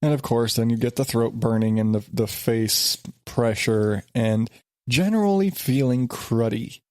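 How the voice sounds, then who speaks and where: Male podcast host, congested, rambling and exasperated, mid-pitched, dry booth sound. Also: measured pace, a little mellow, no room sound. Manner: rambling, exasperated, many restarts. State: stuffed up with a sinus infection. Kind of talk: casual solo podcast.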